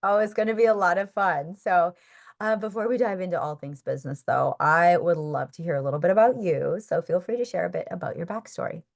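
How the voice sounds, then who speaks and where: A woman's voice: lowish voice, a little fast, gravelly. A woman with an American accent hosting a chatty podcast interview. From North Dakota, American Midwest. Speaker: podcast host.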